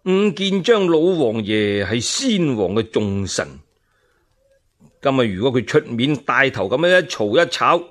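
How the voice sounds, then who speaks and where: A man's voice: mid-range voice, big pitch swings, measured pace, fairly bright tone. A man with a Cantonese accent narrating historical tales in a dramatic, colloquial storyteller's manner.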